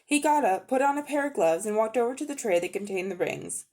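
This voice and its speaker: A woman reading a storybook aloud as narrator, with expressive scripted delivery. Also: a little high, fast. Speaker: audiobook narrator.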